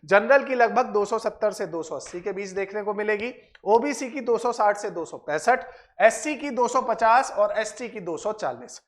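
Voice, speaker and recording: A teacher explains things to students, repeating points and checking in with short tag questions. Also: mid-range voice. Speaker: exam-prep teacher and channel host.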